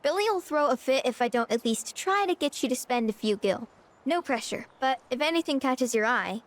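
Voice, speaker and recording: Game role: young farm girl, high lively voice, sincere, polite, a little shy. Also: moderate pace. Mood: gentle, helpful. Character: earnest, sweet little ranch girl, Billy's younger sister. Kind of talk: video game cutscene acting.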